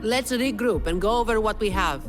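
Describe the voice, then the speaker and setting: An actress playing a cool, commanding fighter in a video game, assertive and shrewd. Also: female voice, mid-range voice, music in the background, natural voice. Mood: analytical. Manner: assertive, deliberate.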